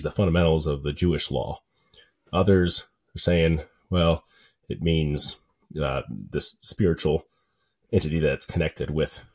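A man's voice: sits low, mellow, breathy edge. A man giving an unscripted explanatory talk, thinking aloud as he works through ideas step by step. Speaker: lecturer on literature and scripture.

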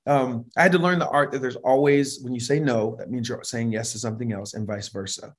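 A man with a naturally high voice speaks at an ordinary pitch for his usual talk. He tells stories casually and with animation, with plenty of restarts and asides, and laughs often. He sounds middle-aged, easy and conversational. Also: fairly quick. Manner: animated, laughs often, frequent restarts and "you know what I mean". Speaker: worship leader and vocal producer, interview guest. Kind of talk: relaxed conversational storytelling.

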